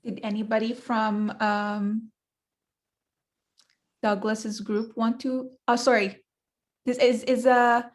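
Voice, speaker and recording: Woman panel moderator on a video call; friendly, halting, apologetic delivery. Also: on the high side, flat delivery. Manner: hesitant, apologetic, laughs lightly. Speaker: panel chair.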